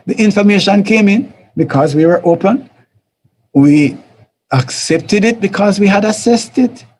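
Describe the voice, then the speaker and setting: Gravelly Jamaican man teaching casually. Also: sits high, darkish tone, slight background noise, low-quality recording. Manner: conversational, repetitive, chuckles, acts out quoted voices. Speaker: teacher of a church life series. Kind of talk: informal teaching talk.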